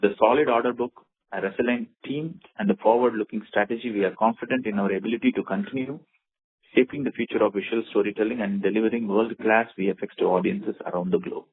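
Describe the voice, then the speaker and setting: Low-pitched Indian man on a tinny phone line. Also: fast talker, husky. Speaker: company executive presenting results. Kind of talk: earnings call presentation and answers. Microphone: telephone conference call.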